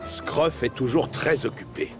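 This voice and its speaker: A man's voice: mid-range voice, a little slow, music in the background. A man narrating a scripted children's cartoon story as its storyteller.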